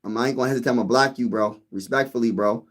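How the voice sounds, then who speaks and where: A man's voice: mid-range voice, very fast. Man, sports channel host, casual live-stream talk, rambling, repetitive, says bro. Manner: rambling, repeats phrases, says "bro" a lot.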